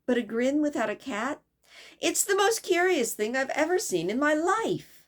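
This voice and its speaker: A woman's voice: high voice, sing-song, moderate pace, pitched above the performer's own voice. A woman voicing a polite, curious young girl, speaking in a raised, earnest, slightly prim tone in a storybook reading. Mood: indignant wonder. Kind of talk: storybook read-aloud.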